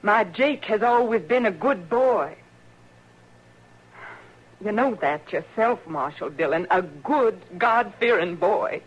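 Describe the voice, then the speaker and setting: A woman's voice: medium pitch, animated, slow pace, husky. A woman playing a bitter, grieving frontier mother in an old radio western, plain-spoken, with a rural Western American accent. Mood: anguished pleading. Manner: plain-spoken, folksy, unyielding. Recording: muffled, hissy old radio recording.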